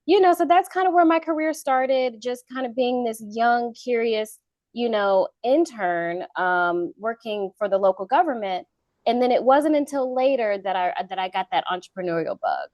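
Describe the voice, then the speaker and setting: A woman in her thirties from North Carolina, a guest telling her career story in a relaxed interview with many fillers. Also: a little high, normal speed, no echo, dull audio. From Charlotte, North Carolina. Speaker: guest, government contracting consultant. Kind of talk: casual interview talk.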